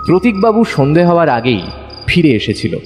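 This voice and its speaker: A man narrating a detective audio story in a dramatic, suspenseful, expressive scripted style. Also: fairly high pitch, normal speed. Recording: long reverb, music under narration.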